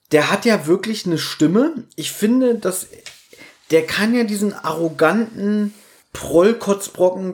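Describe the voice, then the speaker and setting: A man co-hosting a podcast, chatting casually and animatedly as he reviews audio dramas. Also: fairly high pitch. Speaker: podcast co-host.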